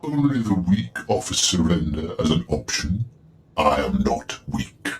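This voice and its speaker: A man's voice: low voice, sing-song, unhurried, background noise. An actor playing a menacing cyborg Sith villain in a video game, arrogant, cold and gloating as he speaks. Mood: arrogant, threatening.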